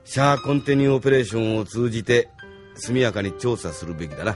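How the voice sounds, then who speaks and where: A man's voice: mid-range voice, lively intonation, fast talker, lightly acted. A shrewd, argumentative middle-aged political operator, voiced by an actor in an anime audio drama. His assured voice rises and falls widely, with faint music underneath. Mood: assured, critical.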